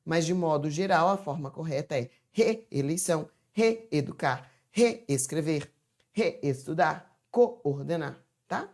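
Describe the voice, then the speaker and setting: A woman teaching a chatty, informal grammar lesson from home, stretching words out slowly to demonstrate how they sound. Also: deep voice, animated, a little mellow. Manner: chatty, addresses viewers as "gente". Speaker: Portuguese teacher.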